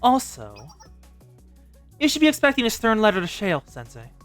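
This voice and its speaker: A woman's voice: a little high, wildly swooping pitch, normal speed, soft background music. Woman playing a polite, menacing schoolgirl. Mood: coolly menacing.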